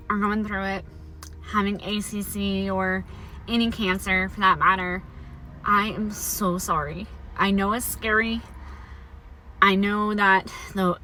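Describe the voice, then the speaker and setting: A young woman speaks candidly and warmly to her viewers, her voice pitched high and very bright because of a prosthetic in her mouth. She moves between reflection and encouragement in an open, personal way. Faint music plays quietly under her voice. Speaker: vlogger sharing her cancer survival story. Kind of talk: personal talking-to-camera vlog.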